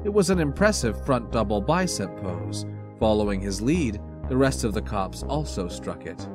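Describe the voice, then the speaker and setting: A man narrating a scripted short story in an expressive reading style. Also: fairly low pitch, normal speed. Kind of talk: animated scripted fiction narration. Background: music.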